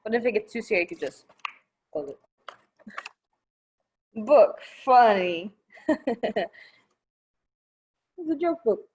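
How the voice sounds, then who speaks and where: Slow, very animated woman reading to children. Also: on the high side. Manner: expressive, simple explanations aimed at young children. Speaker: children's librarian, storytime presenter. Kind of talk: children's storytime read-aloud.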